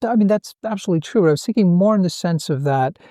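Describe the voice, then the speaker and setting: A man's voice: sits high, lively intonation, quick pace, warm tone, no echo, studio quality. A man hosting an interview podcast, asking questions in a casual way and thinking aloud with fillers. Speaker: podcast host. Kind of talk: interviewing a guest.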